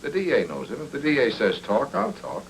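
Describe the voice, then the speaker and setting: An actor playing a hard-boiled private detective with terse, deadpan wisecracks, in 1940s film noir style. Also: male voice, medium pitch, brisk, creaky, slight background noise. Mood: cool, defiant. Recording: old film soundtrack with loud music underneath.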